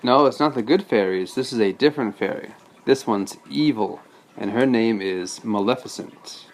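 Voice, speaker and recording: A man's voice: medium pitch, moderate pace. A man reading a storybook aloud as a father, patiently breaking off to explain things casually to a child.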